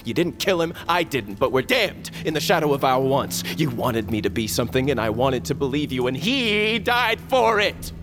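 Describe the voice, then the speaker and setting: A man's voice: mid-range voice, sing-song, normal speed, few pauses, over music. An actor playing a grieving, defiant young warrior in an audio drama, his emotional voice rising in outbursts. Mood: anguished. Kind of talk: audio fiction drama acting.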